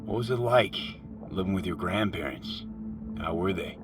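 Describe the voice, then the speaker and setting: A man's voice: sits low, lively intonation, normal speed. An actor playing a laconic, curious male mercenary with a dry streak, speaking tersely and casually in naturalistic video game dialogue. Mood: inquisitive. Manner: terse, casual, matter-of-fact. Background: loud music.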